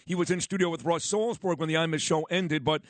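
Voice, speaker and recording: A male radio host in the studio, a lively storyteller introducing his guest and reminiscing. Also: a little high, brisk, no echo. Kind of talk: morning radio talk.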